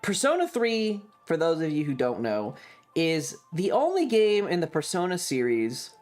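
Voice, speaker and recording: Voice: medium pitch, wildly swooping pitch, normal speed, darkish tone, soft background music. A video game streamer chatting casually in a rambling, blunt way that is peppered with swearing. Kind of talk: casual livestream commentary.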